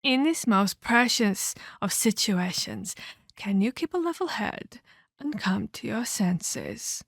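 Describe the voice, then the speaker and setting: A woman performs a sinister female vampire captor in a dramatic ASMR roleplay. She speaks slowly and deliberately, with theatrical, condescending menace and taunting scorn. The sound is very dry, with no room around the voice. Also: medium pitch, expressive, gravelly, no echo, heavily acted. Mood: menacing.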